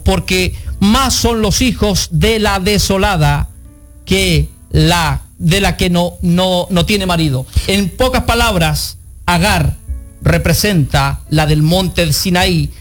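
Man, radio co-host, high voice, reads scripture with emphasis, faint music. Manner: reads verses with rising emphasis. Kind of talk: reading and explaining scripture.

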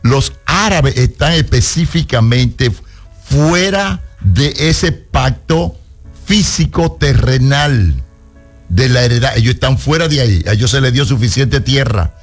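A man hosting a radio call-in show as a pastor, teaching on the Bible and stressing points slowly. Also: mid-range voice, animated, faint music behind. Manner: emphatic, slows down to stress points.

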